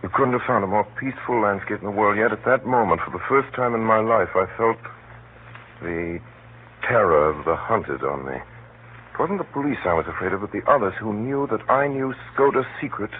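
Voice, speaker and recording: Male actor as hunted hero-narrator for 1930s radio drama: low, tense, deliberate delivery over a noisy, scratchy broadcast. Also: expressive, narrow, tinny audio, lightly acted. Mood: dread, brooding. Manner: dramatic, deliberate, measured pauses. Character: hunted man recounting his flight, tense and grave.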